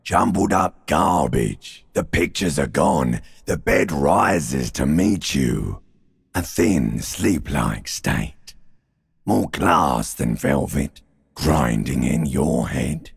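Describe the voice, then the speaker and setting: An ancient reptilian brain from a video game, menacing, seductive and darkly soothing. The growl is extremely husky and thick with gravel. It mocks in long drawn-out phrases that hang in a long cavernous reverb. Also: male voice, a bass voice, lively intonation, full character voice. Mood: dismissive, taunting. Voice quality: gravelly, raspy, guttural growl. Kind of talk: theatrical video game voice acting.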